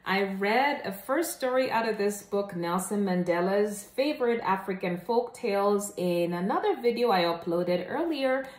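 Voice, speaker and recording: A woman hosting a children's read-aloud, telling stories to the viewer in an expressive, deliberate and emphatic way. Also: mid-range voice. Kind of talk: animated read-aloud storytelling to camera. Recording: echoey room.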